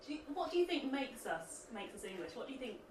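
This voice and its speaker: A woman's voice: high voice, sing-song, fast, darkish tone. Woman interviewer, echoey and off-mic. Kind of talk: light interview chat. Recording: echoey, distant from mic, faint noise.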